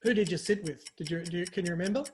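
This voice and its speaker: A man with a notably high voice hosts a rapid comic interview. He teases his guest in a playful, mock-grand manner. Faint background noise sits under the recording. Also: darkish tone. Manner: teasing, mock-formal and playful. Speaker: interview host. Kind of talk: scripted quick-fire comic interview.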